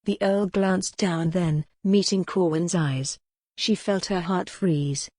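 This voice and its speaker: Woman reading a historical romance audiobook, lowish voice, very dry recording. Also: fairly even intonation, slow, a little mellow, no echo. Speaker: audiobook narrator. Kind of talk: scripted narration of a historical romance novel.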